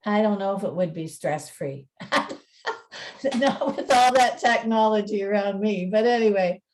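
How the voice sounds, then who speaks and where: A woman's voice: medium pitch, a little slow, darkish tone, distant mic, a little hiss or hum. A woman presenting an informal talk in a chatty, self-deprecating manner. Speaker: museum presenter and radio play narrator. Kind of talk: informal talk with slides and dramatic reading.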